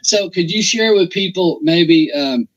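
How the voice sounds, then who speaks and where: A man's voice: sits high, a little slow. A man hosting a casual interview, asking long, rambling questions full of restarts and fillers. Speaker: interview host. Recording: dull, lo-fi audio.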